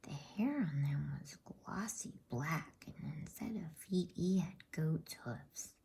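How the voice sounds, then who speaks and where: A woman softly whispering a bedtime story in ASMR style, breathy, with a halting delivery. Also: low-pitched, expressive.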